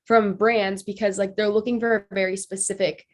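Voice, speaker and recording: A woman's voice: mid-range voice, flat delivery, moderate pace. A woman in her twenties from Ohio, a podcast guest, slightly nasal from allergies, talking in an upbeat, bubbly way. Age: 20s. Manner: upbeat, bubbly, frequent "like" fillers, laughs easily. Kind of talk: casual interview chat.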